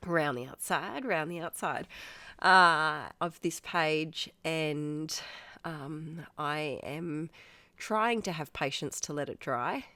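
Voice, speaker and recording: A woman's voice: deep voice, slow pace, sharp tone, no echo. A woman with an Australian accent and a stuffy, congested voice, chatting casually through a voiceover of her art process, rambling and self-deprecating with frequent laughs. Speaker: art channel host.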